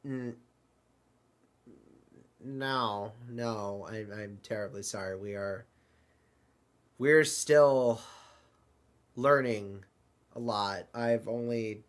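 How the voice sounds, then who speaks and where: A man playing an excitable, apologetic multiverse traveler in an audio drama, stammering and fussing through his lines. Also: fairly low pitch, very slow, pauses often. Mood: awed, apologetic. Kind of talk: hobby audio drama dialogue.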